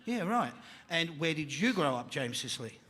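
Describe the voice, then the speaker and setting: A man with an Australian accent hosting a live panel show, energetic and jokey, calling out to a crowd in the venue. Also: a little high, moderate pace.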